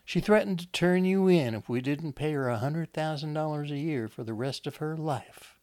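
A man's voice: fairly high pitch, normal speed, darkish tone, dry recording, voice pushed up, lightly acted. A man voicing a scheming, defensive wife in an audiobook story, emphatic and self-justifying.

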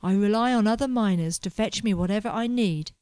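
A woman voicing a pompous, melodramatic young man chasing gold, complaining loudly about everything around him. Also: mid-range voice, a little fast, clear, resonant tone, no room sound, clean studio sound, close to an everyday voice. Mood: fervent. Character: anxious, pompous young male fortune hunter.

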